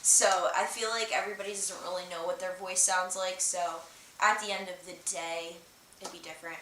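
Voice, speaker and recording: A woman livestream host talks off the cuff in a bright, forward voice. She rambles informally, with many hesitations and filler words. The sound is roomy and distant from the microphone, with a faint hiss behind her. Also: on the low side, fairly even intonation, moderate pace. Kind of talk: casual livestream chat, explaining and reading out viewer comments.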